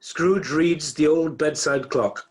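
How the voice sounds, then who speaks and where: A man's voice: a little high, slow, breathy edge, faint music behind. A man playing the wry, theatrical narrator of a radio play, addressing the listener directly. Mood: dramatic. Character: wry storyteller narrator of a Christmas tale, theatrical.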